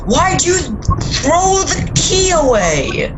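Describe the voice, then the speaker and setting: A woman's voice: high voice, big pitch swings, very slow, very noisy, heavily acted. A woman playing an alarmed, exasperated husband and father in an amateur audio horror drama, insistently demanding answers. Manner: insistent, questioning.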